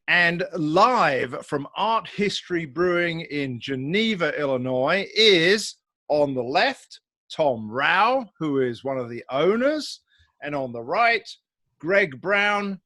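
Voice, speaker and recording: British male host, bit high, dry close sound, chatty, jokey, filler-heavy. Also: fairly bright tone, dry recording. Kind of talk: casual video-call chat. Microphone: video call.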